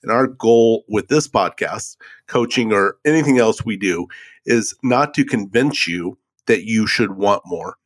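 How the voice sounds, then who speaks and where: Baritone guy cohosting a podcast, low voice, dry jokes. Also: unhurried. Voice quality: deep baritone. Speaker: podcast co-host, coach. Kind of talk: casual podcast chat.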